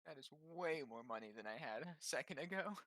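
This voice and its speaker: A man banters as a tabletop player in a lively, fairly high voice. His tone is bright, and he speculates playfully in a dry, close sound. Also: fairly quick, no echo. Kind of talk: casual game banter.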